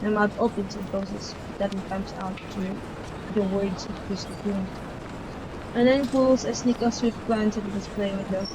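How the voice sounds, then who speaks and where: A woman's voice: medium pitch, level tone, moderate pace, background noise. A woman reading a visual novel's narration aloud as she plays, often stumbling over words and rereading them. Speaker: game player reading aloud. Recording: loud game music behind voice. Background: loud game music.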